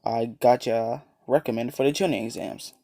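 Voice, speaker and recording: A man reading the lines of an easygoing adult male ninja teacher in his own raspy voice. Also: mid-range voice, fairly even intonation, normal speed, warm tone, no room sound, studio quality, lightly acted. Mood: offhand.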